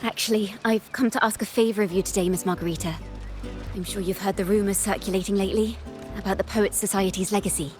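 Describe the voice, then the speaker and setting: An actress playing a poised young woman bard in a game, speaking in an earnest, measured, eloquent and theatrical way. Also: mid-range voice, steady tone, natural voice. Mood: polite, purposeful. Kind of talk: dramatic game story dialogue and oratory. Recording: background music under the voice.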